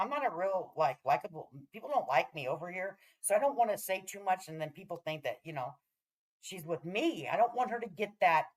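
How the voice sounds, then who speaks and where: Brash, chatty woman livestreamer. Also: on the low side. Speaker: livestream host. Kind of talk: casual livestream chat with viewers.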